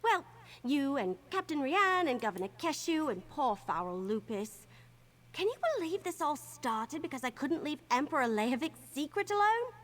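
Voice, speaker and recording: An actress playing an eager, earnest young adventurer in a video game, with a bubbly, youthful delivery. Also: female voice, high-pitched, sing-song, moderate pace, a bit bright. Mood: earnest, wondering. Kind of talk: video game character dialogue.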